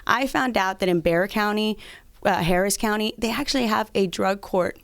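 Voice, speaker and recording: A woman's voice: medium pitch, moderate pace, gravelly, no echo. A woman in her thirties from south Texas, answering interview questions as a lawyer and candidate. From south Texas, United States. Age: 30s.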